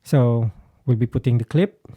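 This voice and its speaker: A man's voice: mid-range voice, moderate pace, music in the background. A man giving a casual explanation as a guitarist vlogger, hesitant and searching for his words. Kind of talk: casual explanatory talk to camera.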